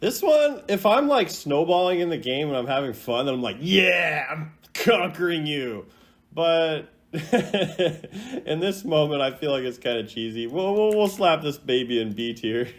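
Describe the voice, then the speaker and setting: A man's voice: fairly high pitch, lively intonation, a little slow. A man doing casual reaction commentary as a gaming creator, thinking aloud in an informal, rambling way. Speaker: video game content creator ranking voice lines.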